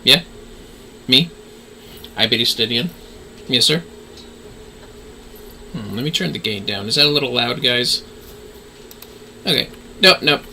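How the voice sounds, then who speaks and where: A man's voice: mid-range voice, measured pace, pauses often, bright, forceful tone, slight background noise, low-quality recording. A man hosting a gaming livestream, chatting to viewers and reading game dialogue aloud.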